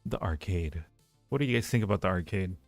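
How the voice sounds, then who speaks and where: Guy streamer w a lowish voice, rambly casual chat, bit of room echo. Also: expressive, a little fast, creaky. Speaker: livestream host. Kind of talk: casual chat with live stream viewers, rating ideas. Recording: roomy echo, faint music behind. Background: faint music.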